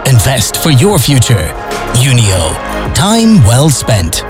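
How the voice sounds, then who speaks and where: A man reads a polished radio advert with a lively, up-and-down delivery. A hip hop beat runs underneath the whole read. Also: medium pitch, slow pace. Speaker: ad voice-over. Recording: studio ad with music bed.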